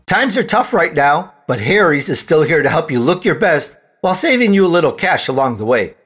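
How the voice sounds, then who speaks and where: A man's voice: on the high side, very animated, fairly quick, fairly bright tone, faint music behind. A man hosting a podcast in a chatty salesman's delivery, throwing in rhetorical questions. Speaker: podcast host. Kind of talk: interview hosting and scripted ad reading.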